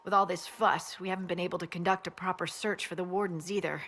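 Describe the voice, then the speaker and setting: A woman's voice: on the low side, steady tone, fast talker, a bit bright, slight background noise, natural voice. An actress playing a dutiful female scout giving reports in a fantasy video game, plain and respectful. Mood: concerned, reporting. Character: female soldier scout, dutiful, briefing her superior. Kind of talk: video game character dialogue.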